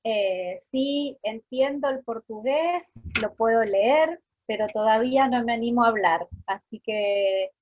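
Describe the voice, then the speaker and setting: A woman with an Argentine accent giving an informal academic lecture, pausing often, over dull online call audio. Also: on the high side, a little hiss or hum. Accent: Argentine Spanish. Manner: deliberately slowed, with pauses. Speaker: guest lecturer, academic researcher.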